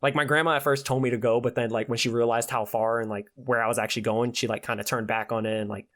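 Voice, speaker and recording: A man's voice: mid-range voice, fairly even intonation, fast talker, fairly bright tone. A twenty-year-old man chatting casually as a podcast guest, earnest and full of slang. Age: 20 years old.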